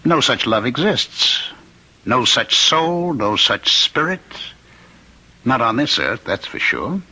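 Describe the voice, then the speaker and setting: An actor playing a menacing condemned killer in a radio drama, with a rough, taunting, theatrical delivery. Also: male voice, mid-range voice, lively intonation, takes it slowly, sharp tone, full character voice, poor audio. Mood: fervent, bitter. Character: menacing condemned killer claiming to be Jack the Ripper. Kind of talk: old-time radio drama acting.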